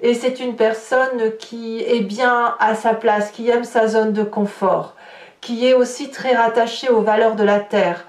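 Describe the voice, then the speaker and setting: A woman giving an unscripted tarot reading as a guidance monologue, halting and often restarting as she searches for words. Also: on the high side, steady tone. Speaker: tarot card reader. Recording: roomy echo, distant from the mic.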